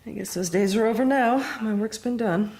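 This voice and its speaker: An actress playing a proud, wistful middle-aged former television star, probing and self-regarding, in an audio drama. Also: female voice, medium pitch, a little fast, dark tone, close to an everyday voice, low-quality recording. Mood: wistful, bitter.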